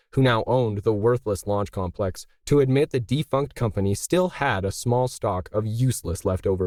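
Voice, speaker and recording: A man's voice: mid-range voice, darkish tone, no echo. Male audiobook narrator, very dry sound. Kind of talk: scripted story narration.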